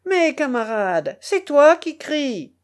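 A woman's voice: fairly high pitch, big pitch swings, a little slow, darkish tone. A woman voicing a brutal, commanding male smuggler in an audiobook, harsh, mocking and imperious in manner. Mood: mocking, calmer. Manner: harsh, imperious, growling orders. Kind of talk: dramatic audiobook character voice.

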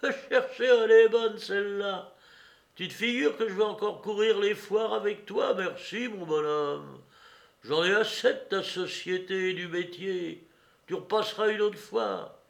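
A brazen fairground woman from a novel, as a man acts her out. The pitch is lifted well up and the delivery is slangy, exclamatory and full of mockery. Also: measured pace, gravelly. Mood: mocking, defiant.

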